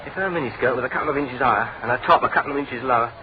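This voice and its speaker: A man's voice: mid-range voice, rapid-fire, lightly acted. A man playing a cheeky young British detective's assistant in a radio drama, breezy and slangy. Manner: breezy, teasing, chatty. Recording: tinny phone-like radio recording, crowd behind.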